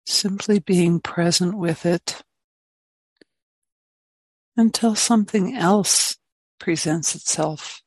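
A woman meditation teacher giving guided meditation in gentle, spacious phrases with long pauses between them. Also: on the low side, breathy edge, dry recording, poor audio.

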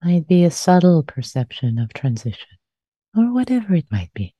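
A woman meditation teacher leading a guided meditation in a soft, breathy voice, gently and unhurriedly, with long pauses, on a dull lo-fi recording. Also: on the low side, big pitch swings, no room sound.

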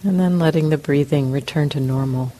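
A woman leads a guided meditation, speaking slowly in short phrases with long pauses. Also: sits low, warm tone. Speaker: meditation teacher. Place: meditation center hall.

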